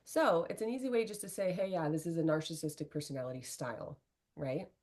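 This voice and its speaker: Middle-aged woman w/ a low voice, coach talking candid and earnest, keeps saying right?. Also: quick pace. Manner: earnest, animated, fillers like "right?". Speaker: relationship and etiquette coach. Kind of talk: candid interview talk.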